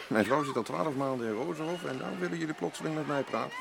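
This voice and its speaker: Een acteur die in een hoorspel een stugge zwemleraar speelt, kortaf en soms haperend. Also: male voice, fairly high pitch, fast, vocal fry. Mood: indignant, brusque. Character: gruff defensive swimming instructor with estranged wife. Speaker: radio drama actor. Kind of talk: Dutch radio play dialogue. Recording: noisy old radio drama recording.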